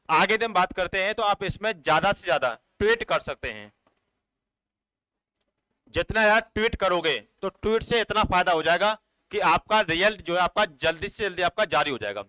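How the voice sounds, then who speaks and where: A man's voice: high-pitched, lively intonation, brisk, pushed and bright, clear, resonant tone, no room sound, rough recording. A man giving an informal, explanatory exam update as a coaching teacher, addressing students directly in a repetitive, instructive way.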